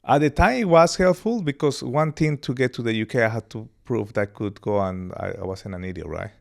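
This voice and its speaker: A man in his thirties from Colombia with a Colombian accent and Spanish as his first language, telling his life story as a podcast guest in a relaxed, rambling way. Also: medium pitch, fairly quick, gravelly. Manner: relaxed, laughs easily, rambling anecdotes. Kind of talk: casual interview storytelling.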